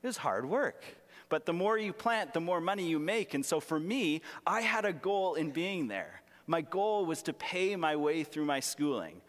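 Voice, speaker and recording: A young male pastor delivers his sermon in a raspy voice that sits a little high. He is earnest but conversational, poking fun at himself. His words ring with the long reverb of a large hall. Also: sing-song, moderate pace. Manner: earnest, self-deprecating, conversational with humor. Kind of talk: sermon with personal stories.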